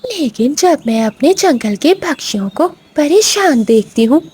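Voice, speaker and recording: Casting: woman as regal cartoon eagle queen, high and very lively, firm yet caring, birdsong behind. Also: a little slow. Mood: grave, firm. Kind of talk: animated moral-story cartoon dub.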